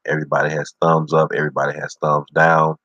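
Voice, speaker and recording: A man's voice: very deep voice, monotone, quick pace, a bit bright, no echo, highs cut off. A man hosting his own livestream show, talking loosely and encouragingly, constantly saying "you know what I'm saying". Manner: easygoing, encouraging. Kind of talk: casual livestream talk.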